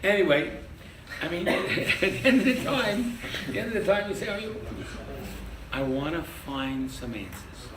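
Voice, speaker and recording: Older rabbi, animated, echoey room. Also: male voice, high-pitched, normal speed. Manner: animated, quizzes the audience, jokes. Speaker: rabbi teaching a class. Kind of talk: interactive Torah class. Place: classroom with a live audience. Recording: echoey room from far off with noise.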